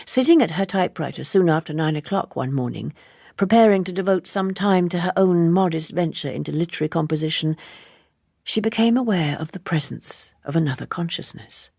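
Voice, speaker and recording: A woman reading a literary novel as scripted narration, in a measured and precise manner. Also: fairly low pitch, animated, creaky, a little mellow, slightly rough, dry recording, studio quality. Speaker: audiobook narrator.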